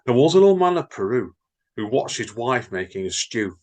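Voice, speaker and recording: Husky, lively man. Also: medium pitch, moderate pace. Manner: lively, chatty, wry teasing. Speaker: podcast co-host and reader. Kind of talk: reading poems and casual chat.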